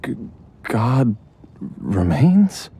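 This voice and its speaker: A man playing a dazed, feeble, confused father in a video game, stammering and halting as he speaks. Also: medium pitch, sing-song, noisy background, full character voice. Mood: bewildered. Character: dazed, feeble, confused father who lost his memory.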